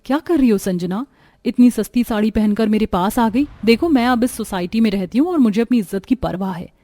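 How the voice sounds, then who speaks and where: A woman's voice: a little high, expressive, a little fast, warm tone, lightly acted. A woman voicing a haughty, boastful rich young wife in a moral cartoon story. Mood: scornful, boastful. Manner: scornful, boastful. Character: haughty rich young wife who taunts her poor friend.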